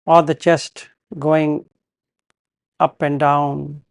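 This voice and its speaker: A man guiding a meditation as a teacher, giving calm, measured instructions in short phrases with pauses between them. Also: fairly high pitch, vocal fry, no room sound, studio quality.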